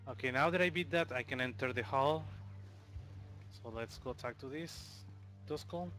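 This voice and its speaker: A man's voice: mid-range voice, normal speed, a bit bright, faint music behind. A man from Mexico with a Mexican accent, casually explaining a game speedrun as he plays, with loose fillers. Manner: explains while playing, loose and chatty, with fillers. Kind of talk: live commentary on a speedrun.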